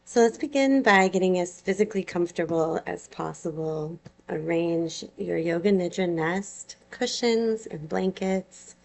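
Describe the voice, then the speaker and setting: Slow, creaky-voiced woman guiding meditation. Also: on the low side, darkish tone. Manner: unhurried, soothing phrasing with long pauses. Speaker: yoga nidra teacher leading a guided relaxation. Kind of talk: guided meditation, gentle instruction.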